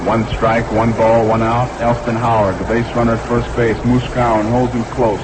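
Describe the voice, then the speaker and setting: Male baseball announcer, 1960s radio style, mid-pitched, clipped delivery, clearly noisy archival broadcast sound. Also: little pitch movement, normal speed. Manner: short clipped phrases, repeats the count. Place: ballpark broadcast booth.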